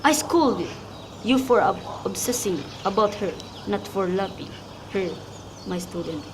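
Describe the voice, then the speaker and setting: A woman playing a holy friar and gently scolding mentor in an amateur radio play, reciting carefully with small stumbles. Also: mid-range voice, lively intonation, measured pace, close to an everyday voice. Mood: chiding. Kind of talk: student radio drama, recited modern Shakespeare. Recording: clear background noise with birdsong.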